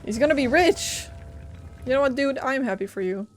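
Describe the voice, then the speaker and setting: A woman's voice: high voice, animated, moderate pace. A young woman giving casual live gameplay commentary, playful and teasing in manner. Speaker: game streamer. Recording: game music behind voice.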